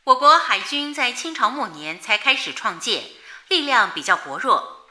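A solemn wartime woman announcer in a radio drama. Her delivery is formal and declamatory, with a piercingly bright, forceful tone and strongly varied, fairly high pitch. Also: very animated, moderate pace, slight background noise, exaggerated voice. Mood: grave, resolute. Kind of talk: 1930s wartime news bulletin.

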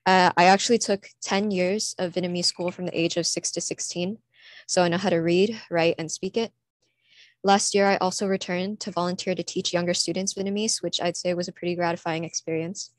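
A woman's voice: on the low side, fairly even intonation, no room sound. A teenage girl from California giving a prepared student presentation at an online conference, earnest and reading steadily from her slides. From California, USA. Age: teens. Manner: earnest, reads from slides with small pauses. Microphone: computer mic, online conference.

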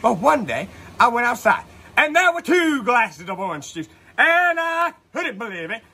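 A man's voice: very high-pitched, big pitch swings, moderate pace, bright, forceful tone, husky, heavily acted. A man playing an earnest oddball who tells of alien encounters in a mock-serious, theatrical comedy monologue. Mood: mock-solemn.

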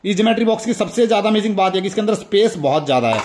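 A man's voice: high voice, lively intonation, very fast, a little mellow, a little hiss or hum. An Indian man hosting a toy review, enthusiastically showing items and repeatedly addressing his viewers. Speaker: toy review channel host. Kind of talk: excited toy unboxing commentary.

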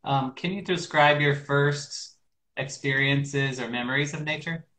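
A man's voice: mid-range voice, fairly even intonation, a little slow, pushed and bright. A man, casually hosting an interview on a video call from a small room. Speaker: interview host. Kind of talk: casual hosting, asking questions. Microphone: shared device at arm's length. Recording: roomy, echoing video call.

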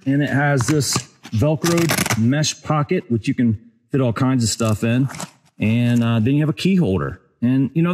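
Relaxed man vlogging on a forest trail. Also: a little high, a little hiss or hum. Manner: relaxed, conversational, many fillers. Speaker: outdoor gear reviewer. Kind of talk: casual gear review vlog. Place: wooded hiking trail outdoors. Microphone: handheld action camera at arm's length.

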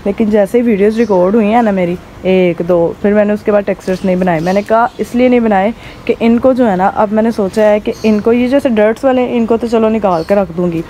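A woman with a hoarse throat chats casually to camera as a vlogger, rambling with many fillers while filming on a rooftop. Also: medium pitch, fairly quick, few pauses, dark tone, a little hiss or hum. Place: rooftop outdoors.

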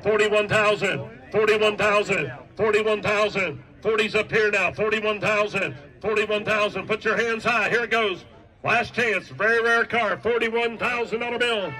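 A man working as an auctioneer, calling bids in a rapid, rhythmic chant at an outdoor estate sale. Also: high-pitched, fairly even intonation, bright, forceful tone. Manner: rhythmic repetitive bid calling. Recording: distant voice outdoors.

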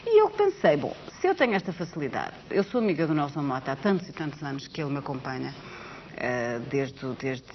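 A middle-aged woman from Portugal with a Portuguese accent tinged with Brazilian sounds, chatting as a TV guest about her project and telling stories with animated asides. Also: deep voice. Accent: Portuguese with Brazilian touches. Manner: animated storyteller, gesturing, quick asides. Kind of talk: casual chat on a TV talk show. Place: TV studio set. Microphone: lapel mic. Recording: dull lo-fi TV broadcast with faint hiss.

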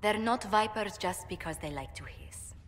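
A woman's voice: mid-range voice, expressive, moderate pace, close to an everyday voice. A woman playing a poised, diplomatic ambassador in a fantasy game, with a polished manner. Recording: long hall reverb.

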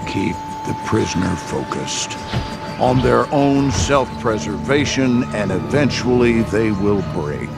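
Casting: threatening military hardman, unhurried and gravelly, over heavy game score. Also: on the low side, animated, full character voice. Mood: cold, threatening. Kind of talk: cinematic military game dialogue. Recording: loud music behind.

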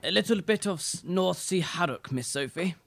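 A woman's voice: fairly low pitch, lively intonation, normal speed, gravelly. A woman acting a deferential, formal male butler in an amateur radio play, repeating himself obligingly. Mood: reluctant, obliging. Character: James, deferential elderly male English butler.

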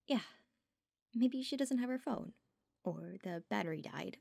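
A woman plays an anxious young-pack werewolf woman with a creaky, mid-pitched voice. She speaks with worried hesitation in a dry audio drama recording. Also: lively intonation, measured pace, darkish tone, no echo, full character voice. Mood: fearful. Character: anxious female werewolf packmate named Gretchen.

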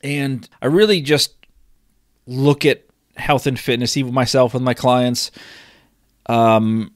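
Hoarse man hosting a podcast. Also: medium pitch, steady tone, slow, no echo, clean studio sound. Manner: rambling, with frequent restarts and throat clearing. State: hoarse from a cold. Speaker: podcast host. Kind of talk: casual solo podcast talk.

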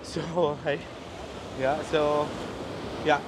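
A man's voice: on the high side, level tone, drawn-out words. Chatty male beach vlogger, narrow-voiced. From India. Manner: chatty, addresses viewers as guys, many fillers. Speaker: travel vlogger. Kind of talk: casual walking tour vlog. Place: outdoors on a busy beach. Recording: outdoor noise behind the voice.

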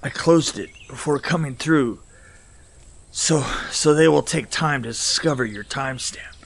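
A man's voice: mid-range voice, unhurried, vocal fry. An actor playing a dying, apologetic man in an audio drama, gasping and wounded. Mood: weak, remorseful. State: gasping, coughing, badly wounded.

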